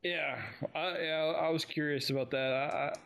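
A man's voice: a little high, fairly even intonation, measured pace, gravelly, a bit bright, clear, resonant tone. A man from Texas co-hosting a fan podcast, chatting informally about an audio drama. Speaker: podcast co-host.

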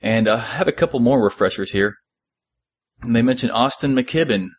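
A man's voice: medium pitch, expressive, normal speed, slightly rough, dry recording, studio quality. A man co-hosting a podcast in a casual discussion, explaining things in detail and thinking aloud. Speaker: podcast co-host.

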